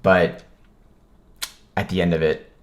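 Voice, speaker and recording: A man's voice: low voice, takes it slowly. A man talking casually as a vlogger, telling a personal story and acting out the remarks he quotes. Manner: animated retelling, acts out quoted remarks.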